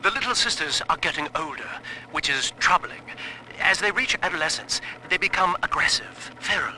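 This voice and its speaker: An actor playing a troubled, erudite scientist, recording a formal, deliberate and precise audio diary. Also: male voice, high-pitched, animated. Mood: concerned, calculating. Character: troubled, erudite male scientist, reflective and devoted. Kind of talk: dramatic audio-diary monologue. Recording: bright, faintly noisy audio-log tape.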